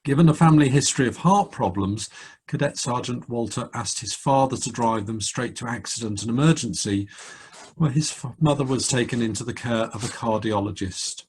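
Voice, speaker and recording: A man speaking as a cadet force commandant, formally presenting and reading out in a measured way. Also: medium pitch, darkish tone, slightly rough, poor audio.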